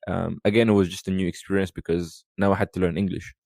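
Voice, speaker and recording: A man from Sudan, in his thirties, talks through memories in a loose, rambling way. His voice is very low with a gravelly edge. The sound is dry and close, with no room around it. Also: little pitch movement, fast, a little mellow, dry recording. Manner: rambling, full of fillers like "you know". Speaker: podcast guest. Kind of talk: casual storytelling conversation.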